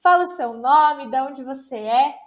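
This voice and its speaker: A woman hosting a casual podcast chat, lively and chatty. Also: high voice, unhurried. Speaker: podcast host.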